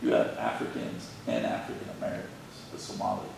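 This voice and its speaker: A man's voice: a bass voice, animated, brisk. A man speaking in a conversational, opinionated way in an informal group discussion, recorded in a large room on a distant microphone. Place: large indoor arts-center room. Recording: echoey hall, distant mic, clear background noise.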